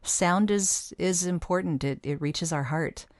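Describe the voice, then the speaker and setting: A woman's voice: on the low side, a little slow, slight background noise. A woman speaking as a podcast host in a conversational interview style.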